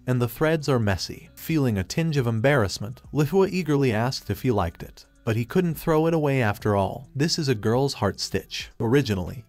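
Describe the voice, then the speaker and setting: A man narrating a comic story recap in a steady, even reading voice, retelling what each character says. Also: mid-range voice, no room sound. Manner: steady, even storytelling read in reported speech.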